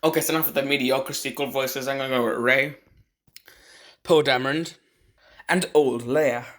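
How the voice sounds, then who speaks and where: A man's voice: mid-range voice, fairly even intonation, moderate pace, fairly bright tone. A man hosting a casual ranking commentary in a hesitant, rambling, opinionated way. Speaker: video host ranking game voice actors.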